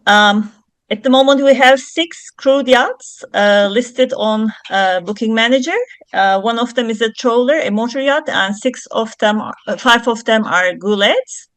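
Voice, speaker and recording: A woman's voice: on the high side, measured pace, fairly bright tone, no echo. A woman from Turkey, presenting her company's yachts on a video call, speaking carefully with frequent fillers. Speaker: yacht charter company representative.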